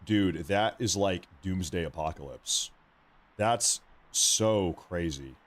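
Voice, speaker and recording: A man with a slightly low-pitched voice hosts a live news stream. His delivery is informal and reactive, like someone chatting casually as he follows events. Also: slow, a little hiss or hum.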